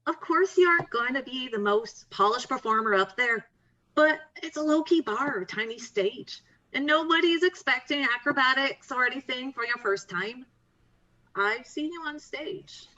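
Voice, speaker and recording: Woman acting bright, persuasive best friend, slightly lo-fi. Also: sits high, animated, normal speed. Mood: encouraging. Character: Riley, upbeat, pushy, encouraging young woman, best friend. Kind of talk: table read of a screenplay.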